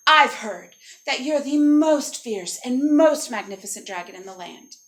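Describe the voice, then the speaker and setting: A woman's voice: high-pitched, expressive, a little slow. A woman storyteller voicing a bold, clever young princess with an emphatic, coaxing manner. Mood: coaxing, flattering. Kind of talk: animated oral storytelling.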